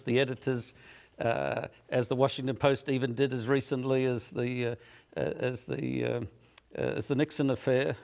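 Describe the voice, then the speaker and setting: A middle-aged man hosting a studio talk show, speaking in a measured way with frequent chuckles and hesitant uhs. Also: mid-range voice. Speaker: host, magazine editor.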